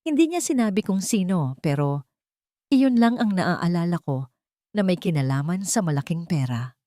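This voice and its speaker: A woman's voice: lowish voice, lively intonation, moderate pace, warm tone, dry recording, clean studio sound, natural voice. A Filipino woman voicing an earnest orphaned young woman, the tentative heroine of an audio romance. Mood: hesitant.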